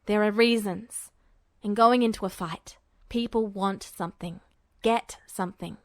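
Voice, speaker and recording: Young foreign male soldier acted by a female reader, storybook dialogue, cool and wry, terse halting delivery, dry recording. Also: medium pitch, dry recording, pitched below the performer's own voice, lightly acted. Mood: sardonic.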